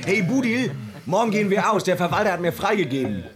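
An actor playing a boisterous young farmhand with a teasing, challenging way of talking. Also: male voice, high-pitched, big pitch swings, quick pace, crowd noise. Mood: cocky, annoyed.

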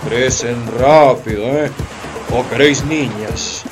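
A man's voice: medium pitch, slow pace, music in the background, close to an everyday voice. A man acting in a radio drama as a sly, leering African chief who sells captives, oily and wheedling.

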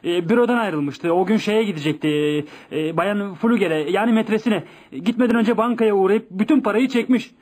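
An actor playing a flustered, helpless office clerk in a radio play, stammering frantically and deferentially. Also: male voice, high voice, moderate pace, darkish tone. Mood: panicked, pleading. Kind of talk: radio theatre acting.

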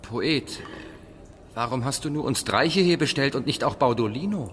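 An actor playing an uneasy, questioning companion in a radio drama, speaking in a hushed, wary way. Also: male voice, medium pitch, lively intonation, normal speed, a little hiss or hum, natural voice. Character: wary, uneasy male companion questioning his old friend. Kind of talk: German radio drama acting.

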